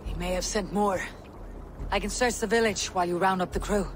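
A man's voice: high voice, steady tone, a little fast, a little hiss or hum, natural voice. A man playing a stern, loyal Viking warrior in a video game, blunt and solemn. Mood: grim, resolute. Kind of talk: dramatic video game acting.

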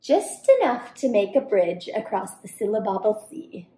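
A woman's voice: medium pitch, animated, moderate pace, mellow, lightly acted. A woman voicing a clever little female monkey from a storybook, sly and bossy, with theatrical emphasis. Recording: roomy indoor echo.

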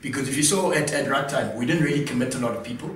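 Measured analytical man, roomy sound. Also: medium pitch. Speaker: panelist. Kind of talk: casual studio sports analysis. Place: small studio room, indoors. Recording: roomy sound from a distance, faint noise.

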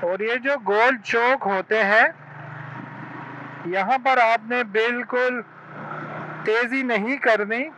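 Male moto vlogger, Pakistani accent, notably high pitch, very slow explanatory delivery, noisy outdoor traffic recording. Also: steady tone. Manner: explanatory, addresses viewers directly. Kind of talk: moto vlog commentary to viewers. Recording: loud vehicle and road noise.

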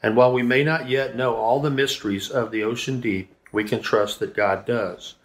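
A man's voice: medium pitch, steady tone, normal speed. Middle-aged man reading aloud. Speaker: children's devotional reader. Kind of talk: reading a children's devotional aloud. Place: indoors in a room at home, seated at a table.

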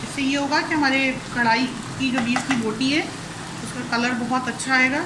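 A woman with a Pakistani Urdu accent, calmly talking through a cooking demonstration step by step in her home kitchen. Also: sits high, normal speed. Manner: calm step-by-step explaining. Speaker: cooking channel host. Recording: echoey kitchen with sizzling noise.

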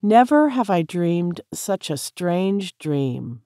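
A woman with an American accent reading English practice sentences clearly and carefully, repeating each one for learners to copy. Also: lowish voice, very animated, takes it slowly, warm tone, no room sound, studio quality.

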